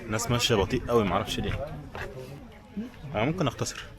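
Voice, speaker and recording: Male Egyptian vlogger, brisk casual delivery straight to the audience, recorded outdoors among a clearly audible crowd. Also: fairly low pitch. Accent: Egyptian Arabic. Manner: informal, chatty, addresses viewers directly. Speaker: travel vlogger. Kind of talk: casual walking vlog chat to viewers. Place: outdoors in a busy tourist village. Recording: crowd chatter, outdoor street sound. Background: crowd and outdoor sounds.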